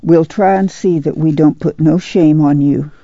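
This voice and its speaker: Woman acting dark-voiced, folksy country mother. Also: low-pitched, steady tone, normal speed, creaky, no room sound. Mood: calm, friendly. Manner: plain-spoken folksy country speech. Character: steady, soothing, strong-willed rural mother. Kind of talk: dramatized literary reading. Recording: dull, muffled audio.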